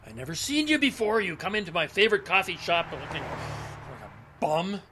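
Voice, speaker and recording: An actor playing a cranky, defensive elderly man with failing memory in an audio drama, gruff, muttering and curt. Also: sits high, animated, normal speed, noisy background. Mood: wary, dismissive. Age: older.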